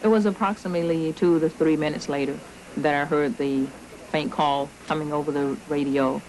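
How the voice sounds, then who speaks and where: A woman's voice: low-pitched, fairly even intonation, normal speed. A woman, an air traffic controller, recalling events in an interview. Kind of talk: interview recollection.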